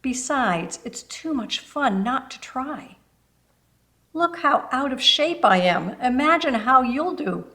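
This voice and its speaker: A woman's voice: high-pitched, lively intonation, slow pace, pushed and bright, voice pushed up, exaggerated voice. A woman voicing a kind, encouraging older neighbor lady from a children's storybook, folksy and affectionate. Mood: enthusiastic, coaxing. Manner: encouraging, folksy, calls listener honey. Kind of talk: children's storybook read-aloud.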